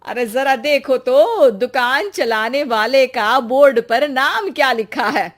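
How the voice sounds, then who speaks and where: A woman voicing a shrewd older mother-in-law in a cartoon story, who speaks firmly and loudly but patiently. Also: sits high, expressive, slow, pitched above the performer's own voice. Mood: knowing. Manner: firm and loud, with a patient tone.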